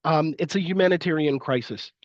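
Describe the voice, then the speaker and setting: Male lecturer, sniffly from a cold, halting delivery, close and dry, a little dull in tone. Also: on the high side, no echo. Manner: hesitant, many fillers and restarts. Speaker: university lecturer. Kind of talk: live-streamed lecture.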